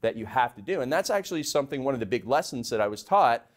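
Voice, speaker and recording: A man's voice: medium pitch, lively intonation, quick pace. A man who grew up in Canada, co-hosting a podcast in a studio and talking in an enthusiastic, motivational, rambling way. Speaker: podcast co-host. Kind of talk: casual podcast conversation. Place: studio with cameras.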